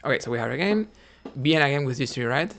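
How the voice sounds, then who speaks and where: A man from Cuba, streaming his chess games and quietly thinking his moves out loud. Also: mid-range voice, animated, quick pace, a little hiss or hum. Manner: thinking aloud, muttering moves quietly, hesitant.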